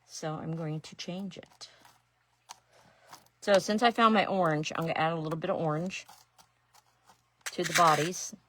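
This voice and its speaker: A woman's voice: deep voice, steady tone, moderate pace, long pauses, a bit bright, a little hiss or hum. A middle-aged woman from Ohio with an Ohio accent, chatting casually and rambling as she works on a craft during a live stream. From Ohio, USA. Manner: chatty, rambling, self-deprecating asides. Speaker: resin artist and painting teacher.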